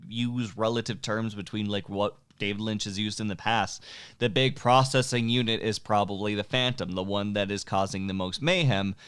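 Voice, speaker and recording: A man chats loosely and playfully, with a smooth, resonant voice. He wanders through his thoughts and leaves some sentences unfinished. He keeps the tone light and jokey. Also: fairly low pitch, fairly even intonation, normal speed, a bit bright, no echo. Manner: playful, joking, trailing off mid-thought. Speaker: podcast co-host. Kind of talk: casual banter.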